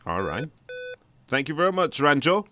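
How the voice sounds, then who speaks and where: Bright-voiced Belizean man hosting. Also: on the high side, very animated, moderate pace. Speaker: talk show host. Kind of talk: live call-in discussion.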